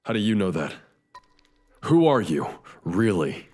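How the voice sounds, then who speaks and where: An actor playing a brooding, philosophical detective in a scripted future noir drama, speaking in measured, formal phrases. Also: male voice, on the low side, sing-song, a little mellow. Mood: urgent, demanding. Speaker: detective character and narrator. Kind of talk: scripted noir drama dialogue and reflective monologue. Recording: long reverb, music behind.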